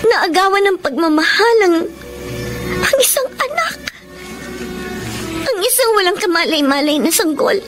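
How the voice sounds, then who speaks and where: Tearful principled young gal in a radio drama, high voice, music underneath. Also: female voice, very animated, unhurried, long pauses, exaggerated voice. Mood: tearful, earnest. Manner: emotional, pleading, respectful. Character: tearful, principled young woman torn over her love. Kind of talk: Tagalog radio drama acting.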